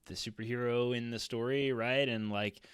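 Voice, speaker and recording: A man's voice: mid-range voice, steady tone, moderate pace, clean tone, dry recording. An American man in his thirties talking earnestly in a casual roundtable discussion, as a podcast panelist. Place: small room at home. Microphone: close boom-arm podcast mic.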